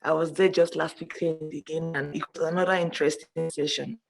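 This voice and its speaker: A woman's voice: deep voice, fairly even intonation, a little fast, gravelly. A woman with a Nigerian accent speaking as an advocate and panelist on an online call. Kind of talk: self-introduction on a panel. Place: online call with an unsteady connection.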